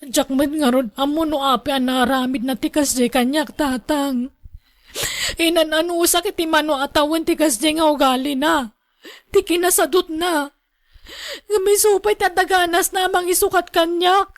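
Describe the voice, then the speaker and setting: A woman's voice: sits high, steady tone, normal speed. A woman acting a worried, long-suffering wife in a radio drama, with an Ilocano accent. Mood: sad, resigned. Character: worried, long-suffering wife pleading with her straying husband.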